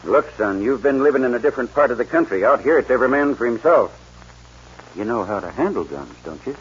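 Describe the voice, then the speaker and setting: Man acting aging American rancher father, folksy drawl, stubborn and fond, animated pitch, noisy 1940s radio western. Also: quick pace, muffled recording, close to an everyday voice. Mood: earnest. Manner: folksy drawl, stammers when moved.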